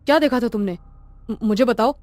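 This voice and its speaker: A woman voicing a bold, irritable male traveller with a brisk, challenging manner in a cartoon story. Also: on the high side, a little mellow, no room sound, natural voice. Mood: puzzled, questioning.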